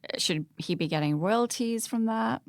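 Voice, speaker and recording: A woman's voice: on the high side, moderate pace, gravelly, no echo, clean studio sound. A woman hosting a podcast, talking in a conversational, discursive and questioning way. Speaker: podcast host.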